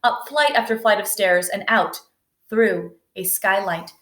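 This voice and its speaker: A woman's voice: mid-range voice, a little slow, a bit bright. A woman reading a children's story aloud, narrating expressively. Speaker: storybook reader. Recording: roomy indoor echo.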